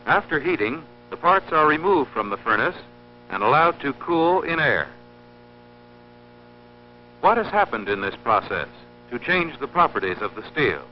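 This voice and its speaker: Lo-fi film soundtrack with faint hum, male narrator, mid-pitched voice, formal, didactic, measured scripted delivery. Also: big pitch swings. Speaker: narrator of an industrial training film. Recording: dull lo-fi old film soundtrack, humming.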